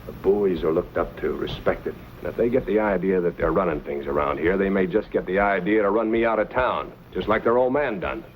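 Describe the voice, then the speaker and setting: A scheming frontier saloon keeper with a low voice. He grumbles with folksy resentment in the manner of old western drama, over faint hiss. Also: a little fast, few pauses, narrow, tinny audio. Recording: hissy old radio-era recording.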